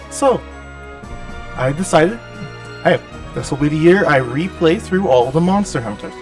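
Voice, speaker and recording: A man with a cold and a nasal, slightly gravelly voice, giving chatty, self-deprecating voice-over commentary over gameplay. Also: a little high, distant mic. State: has a cold. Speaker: gaming channel host.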